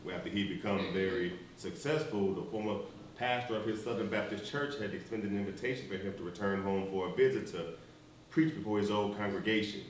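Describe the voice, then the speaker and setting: Casting: man as a deep-voiced, measured minister, heard from afar in a big echoing hall. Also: lightly acted. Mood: storytelling. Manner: slow, measured and reflective. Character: grave, measured Baptist minister, middle-aged man. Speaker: stage actor. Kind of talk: stage play performance. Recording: echoey, distant stage recording, faint hiss.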